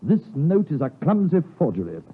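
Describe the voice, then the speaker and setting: A shrewd gentleman who speaks his mind in a 1950s radio play. The voice is husky and very lively in pitch, with articulate, emphatic phrasing. The broadcast sounds old and boxed-in. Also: a little fast, narrow, tinny audio, full character voice. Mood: accusing, insistent. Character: probing gentleman friend of the doctor, shrewd and direct. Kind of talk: 1950s radio drama acting.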